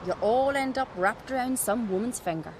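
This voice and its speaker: A woman's voice: a little high, animated, slight background noise, close to an everyday voice. A woman voicing a bold, confident young noblewoman. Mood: teasing. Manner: brisk, teasing and sure of herself.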